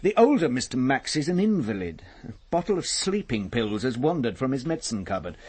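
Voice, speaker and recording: An actor playing an overworked local police detective in a radio drama, with an informal, sardonic way of talking. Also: male voice, medium pitch, big pitch swings, fairly quick, darkish tone, breathy edge. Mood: wry, chatty.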